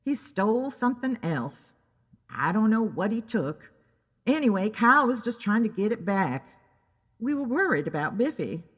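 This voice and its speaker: A woman's voice: medium pitch, very animated, moderate pace, long reverb tail. A woman voicing an elderly, defensive mother and neighbor in an audio drama. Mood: worried, defensive.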